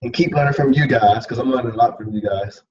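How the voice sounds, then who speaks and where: An American man on a casual group video call, talking in a hesitant way with pauses. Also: medium pitch, darkish tone, echoey room. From United States. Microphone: video call, away from the mic. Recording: distant, dull call audio.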